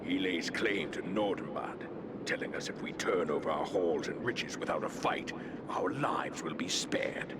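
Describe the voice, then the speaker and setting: A man playing a proud dwarf warrior, a lord's son, with formal, earnest speech in a video game. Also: a little slow. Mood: bitter, scornful. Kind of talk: video game cutscene acting. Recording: loud low rumbling noise behind the voice.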